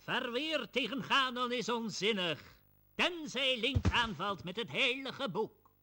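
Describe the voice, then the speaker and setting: A woman's voice: fairly high pitch, lively intonation, measured pace, background noise. An actress playing an ominous woman in a video game cutscene, giving a solemn, theatrical warning. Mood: grave warning. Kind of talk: dramatic video game cutscene dialogue in Dutch.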